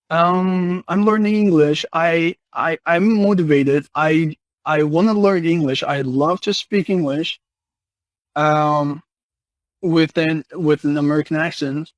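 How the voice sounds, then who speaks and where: A man's voice: on the high side, dry recording. A seventeen-year-old boy from Turkey, hesitantly asking for advice in a voice message, often repeating words. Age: teens. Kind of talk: recorded voice message.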